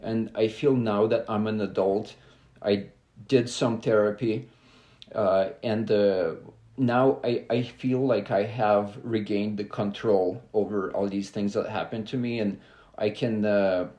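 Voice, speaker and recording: Halting, earnest man in an echoey room. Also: on the low side, steady tone, mellow. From Belarus. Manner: halting, many "uh" fillers, earnest and open. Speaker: podcast guest, climber. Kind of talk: casual interview talk.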